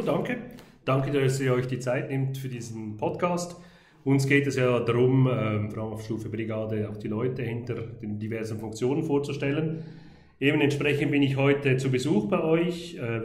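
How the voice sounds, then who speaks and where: A man hosts an interview podcast. His voice sits mid-range, and he asks brisk questions with fillers. The recording is echoey. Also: medium pitch, darkish tone. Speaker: podcast host.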